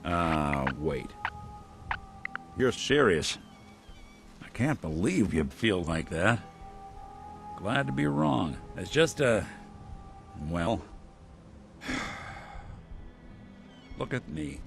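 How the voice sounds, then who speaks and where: An American actor playing a weary old android detective in a video game, with wry, halting, noir-style delivery. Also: male voice, lowish voice, full character voice. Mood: hesitant, disbelieving.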